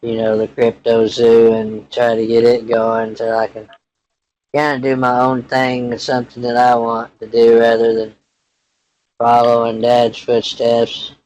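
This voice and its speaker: A man playing a laid-back young Texan rancher in an improvised phone call, talking in a halting, folksy, rambling way. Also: medium pitch, monotone, darkish tone, natural voice. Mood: easygoing, rambling.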